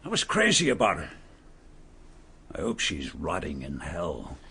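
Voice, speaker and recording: A man's voice: low-pitched, wildly swooping pitch, measured pace, sharp tone, husky, full character voice. An actor playing a bitter, mocking male murderer who posed as a lord, in a radio drama, speaking. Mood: sneering. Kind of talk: vintage radio drama acting.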